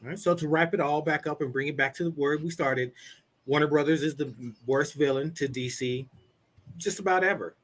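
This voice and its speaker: A man co-hosting a casual geek talk show, animated as he wraps up and plugs merch. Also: a little high, a little fast. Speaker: co-host. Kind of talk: casual podcast chat.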